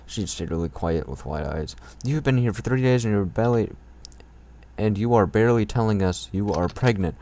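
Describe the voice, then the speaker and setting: Low-voiced man mockingly reading fan fiction. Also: moderate pace, darkish tone. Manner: irreverent, crude, profane. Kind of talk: mocking read-aloud with ad-libbed comments.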